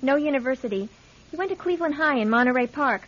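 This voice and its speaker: A detective's dutiful assistant talks in a high voice, casual and a little weary, with dry asides. The radio drama audio is somewhat dull. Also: female voice, expressive, fast, fairly bright tone.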